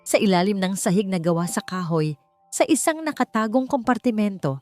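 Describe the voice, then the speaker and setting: A woman's voice: mid-range voice, animated, a little fast, darkish tone, soft background music. A woman with a Filipino accent reading a scripted, dramatic story as its narrator.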